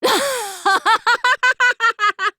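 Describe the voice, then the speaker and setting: A woman playing a brash, cocky young feudal warlord in an anime game, with rough, masculine, archaic and boastful speech. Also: extremely high pitch, very slow, sharp tone, no room sound, studio quality, heavily acted. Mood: amused, resolute. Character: brash, cocky young feudal warlord, self-styled fool, fiercely loyal. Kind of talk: anime game character voice acting.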